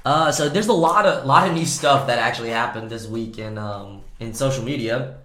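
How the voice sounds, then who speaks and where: A man hosting a podcast, hoarse and raspy from a cold, talking in an upbeat, joking way. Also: mid-range voice, normal speed, a bit bright. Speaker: podcast host. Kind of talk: casual podcast chat. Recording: echoey room.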